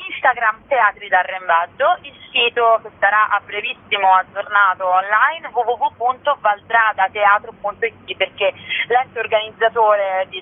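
A woman gives radio interview answers in a chatty, playful, explanatory way. Her voice comes thinly through a telephone connection, with the narrow, muffled sound of a call put on air. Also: medium pitch. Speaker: festival co-curator and comedian. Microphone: phone call.

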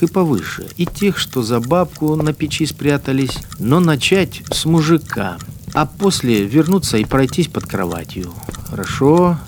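A man's voice: mid-range voice, a little mellow, slight background noise. An actor playing a cruel, mocking collaborator policeman in a radio drama, speaking his inner monologue as he toys with his victims. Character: cruel, sneering wartime collaborator policeman with machine gun. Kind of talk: dramatic first-person interior monologue.

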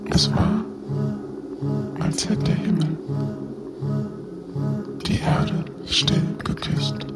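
An actress playing a dreamy woman reciting romantic verse in a whispery, breathy voice for a radio art piece. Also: low-pitched, extremely slow. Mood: dreamy, tender. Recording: voice over loud guitar music. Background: loud plucked guitar music.

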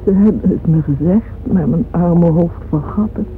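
An actress playing a grieving, weary old woman in an old radio play, speaking in a halting, anguished, dramatic way. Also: fairly low pitch, a little hiss or hum, lightly acted. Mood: wistful, confused. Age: older. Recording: dull vintage radio recording with music.

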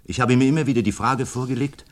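An actor playing a sly, inquisitive middle-aged doctor in a radio crime drama, suave, ironic and probing. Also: male voice, medium pitch, fast, close to an everyday voice. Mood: musing, sly. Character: sly, needling, inquisitive doctor who plays sleuth.

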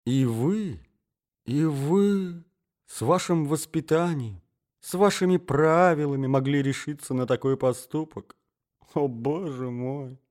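A man voicing a scheming society mother in a story reading, painfully soft, reproachful and coaxing in manner. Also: fairly high pitch, very animated, a little slow, pitched above the performer's own voice. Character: scheming, soothing society mother, feigning gentle suffering.

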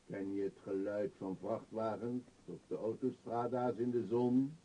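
A man's voice: fairly low pitch, steady tone, a little slow, warm tone. A male actor playing a dreamy, free-spirited vagabond in a Dutch radio play, with a lyrical, musing delivery. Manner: lyrical, musing, rhetorical questions. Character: dreamy, free-spirited vagabond who scorns civilised life. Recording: dull, lo-fi old radio recording.

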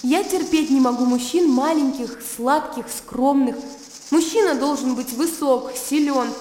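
Young provincial woman, high-pitched, frank, willful, teasing, radio drama, echoing space, chirping insects. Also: a little slow, mellow, a little hiss or hum. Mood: mocking, emphatic. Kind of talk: Russian radio play acting. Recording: echoey reverberant sound, crickets and outdoor ambience.

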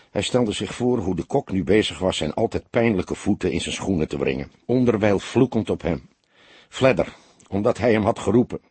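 A man's voice: on the low side, darkish tone, husky, no room sound. A man narrating a crime novel as an audiobook, reading scripted prose in a dramatic storytelling style.

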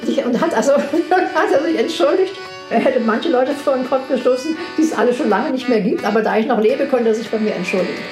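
A very old woman, a poet, telling anecdotes from her past in animated, casual conversation. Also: fairly high pitch, a little fast, few pauses. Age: 80s or older. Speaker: poet recalling her career. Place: indoors in a room. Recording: roomy echo with music.

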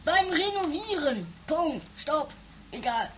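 A very high, swooping voice from a woman playing a hapless husband in a travesty radio play. He stammers and dithers in a flustered way, over clearly noisy tape. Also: big pitch swings, slow pace, full character voice. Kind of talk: improvised travesty radio comedy acting.

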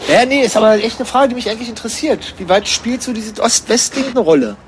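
A man with a Berlin accent in improvised radio comedy chat, hosting in a cheeky, excitable, probing manner. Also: very high-pitched, fast talker, a little hiss or hum. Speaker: radio host.

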